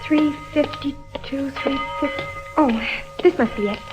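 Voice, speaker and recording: A woman's voice: high-pitched, measured pace, loud music behind the voice. An actress playing a naive, anxious, fragile young woman in an old radio drama. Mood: hesitant. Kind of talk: 1950s radio drama acting. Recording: muffled old radio broadcast.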